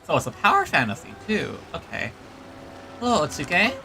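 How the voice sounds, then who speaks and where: Bright, animated male game streamer. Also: sits high, normal speed, creaky, soft background music. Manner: muttering to himself, hesitant asides. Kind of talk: casual live gameplay commentary, reading some text aloud.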